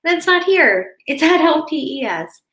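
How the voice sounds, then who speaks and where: A woman talking to young children in a gentle, reassuring way, explaining things simply in a chatty style. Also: high-pitched, big pitch swings, moderate pace. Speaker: school educator reading stories to children online. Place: home office indoors. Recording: roomy, echoey home office.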